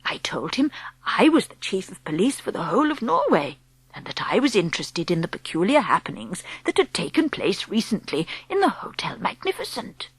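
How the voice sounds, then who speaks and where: A woman voicing a gentle, wise grandmother in her eighties, speaking slowly in a soft, whispery hush. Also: medium pitch, big pitch swings, breathy edge, no echo, poor audio. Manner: slow and hushed.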